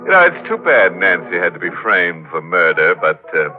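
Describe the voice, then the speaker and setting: An actor playing a droll, macabre mystery host, wry and teasing, in 1940s radio style. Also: male voice, deep voice, sing-song. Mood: sly, teasing. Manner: wry, teasing, deliberate, pun-loving. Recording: narrow-band old radio, music behind.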